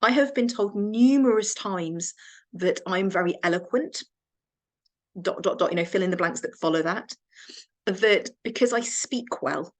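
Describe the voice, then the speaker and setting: An English woman, a counsellor and trainer, giving a reflective training talk with measured pacing and frequent restarts. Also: medium pitch.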